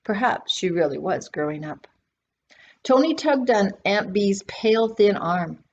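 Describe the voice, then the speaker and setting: A woman's voice: mid-range voice, animated, measured pace, a little mellow. A woman reading a children's novel aloud expressively, as its author. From Canada. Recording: dull sound with faint background noise.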